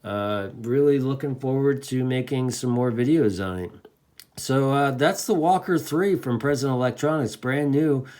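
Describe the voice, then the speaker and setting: A man's voice: mid-range voice, normal speed, a little mellow. A man giving a casual, enthusiastic product demonstration in an informal, folksy way, like a reviewer talking to hobbyists. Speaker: radio reviewer.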